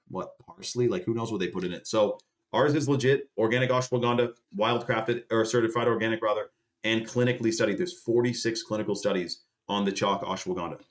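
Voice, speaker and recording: A man in his late twenties or early thirties, a channel host talking casually on a livestream while pitching a sponsor and saying dude and man a lot. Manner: chatty, salesy, says dude and man a lot.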